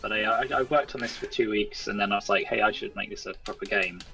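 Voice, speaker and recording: Casting: male indie developer as interview guest, bright forward voice, modest, hesitant delivery, music underneath. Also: lowish voice, fast talker, low-quality recording. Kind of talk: casual interview chat.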